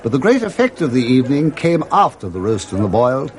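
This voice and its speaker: A man's voice: mid-range voice, very animated, fast, a faint crowd. A man with an English accent narrating expressively and theatrically in a scripted radio drama.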